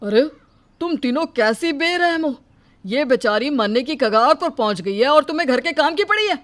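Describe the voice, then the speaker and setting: A woman's voice: high voice, animated, normal speed, fairly bright tone. A woman voicing a serious male doctor who reproaches the family in an earnest manner in a cartoon. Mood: reproachful.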